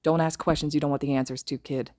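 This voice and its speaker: A woman voicing a brooding, scarred young man who mutters low and quiet in a fan audiobook. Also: steady tone, quick pace, lightly acted. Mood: hushed.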